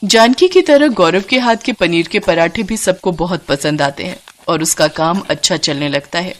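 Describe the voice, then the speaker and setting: A woman narrating a scripted moral story in an expressive storyteller's style. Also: lowish voice, normal speed.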